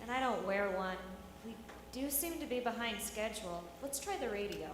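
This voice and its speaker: A woman playing a spirited, independent engineer, brisk, pointed and practical, in a live radio drama. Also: medium pitch, animated, lightly acted. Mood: defiant. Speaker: radio theatre actor. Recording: noisy echoey hall, live stage.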